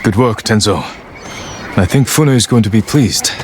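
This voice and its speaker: An actor playing a stoic, resolute samurai lord in a video game, measured, earnest and grave. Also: male voice, on the low side, background noise, close to an everyday voice. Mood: calm. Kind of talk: dramatic video game voice acting.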